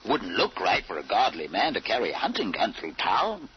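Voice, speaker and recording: A man playing a gloomy, furtive religious fanatic in an old-time radio drama, halting and sanctimonious. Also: lowish voice, huge pitch swings, husky, heavily acted. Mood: furtive, pious. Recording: muffled, noisy old radio recording.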